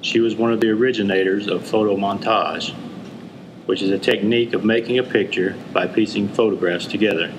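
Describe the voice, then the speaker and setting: A man's voice: fairly low pitch, fairly even intonation, a little fast, noisy background. A man reading scripted educational narration in the role of narrator.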